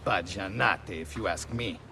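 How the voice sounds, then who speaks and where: An actor playing a courteous, opinionated Venetian guide with an Italian accent and a florid, formal manner in a video game. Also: male voice, low voice, unhurried, creaky, sharp tone, a little hiss or hum, heavily acted. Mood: explanatory, disdainful.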